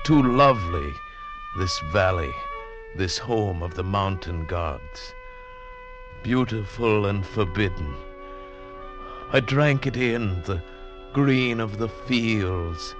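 An actor playing a weary, awestruck mountain wanderer gone blind, narrating slowly and dramatically in a 1940s radio drama. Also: male voice, fairly low pitch. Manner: slow, rapturous, dramatic narrating. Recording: muffled vintage radio recording, music behind.

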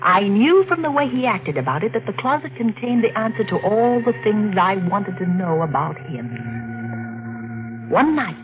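A woman's voice: medium pitch, big pitch swings, moderate pace, close to an everyday voice. A woman playing an anxious but determined wife in a 1940s radio suspense drama, with dramatic intensity. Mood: tense, haunted. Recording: dull vintage radio recording with music.

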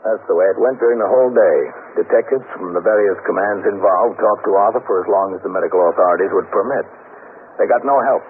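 Through a narrow, hissy 1950s radio sound comes an actor as an American police captain. He is low-voiced and quick, reporting in a clipped, matter-of-fact way. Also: close to an everyday voice. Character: businesslike police precinct captain, narrating his cases. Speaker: narrator and lead actor. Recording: thin, band-limited 1950s radio recording, noisy.

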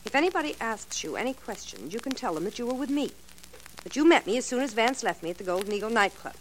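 A woman's voice: fairly high pitch, expressive, fast, close to an everyday voice, poor audio. A woman playing a hard, threatening suspect in a 1940s radio drama, cold and clipped. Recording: old radio recording with faint hiss.

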